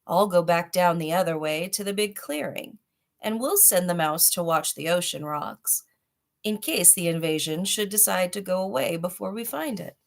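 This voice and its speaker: A woman's voice: lowish voice, animated, normal speed, creaky. A woman voicing a bossy, suspicious male wild boar in a children's storybook, fussy and commanding. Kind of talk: children's storybook read aloud.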